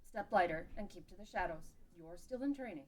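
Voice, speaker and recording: A woman's voice: fairly low pitch, expressive, fairly quick, poor audio. A woman playing a protective, careful warrior guardian in a fantasy table read. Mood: resolute.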